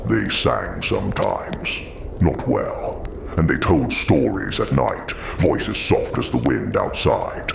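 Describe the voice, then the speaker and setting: A haunted giant creature for an audio drama, super low and slow, gentle but weary, noisy background. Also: male voice, wildly swooping pitch, gravelly, exaggerated voice. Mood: wistful. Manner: slow, weighted, halting delivery.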